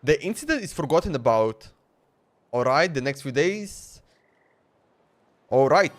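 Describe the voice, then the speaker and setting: A man's voice: a little high, lively intonation, unhurried. A man giving casual live gameplay commentary as a streamer, rambling and thinking aloud. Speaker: game streamer.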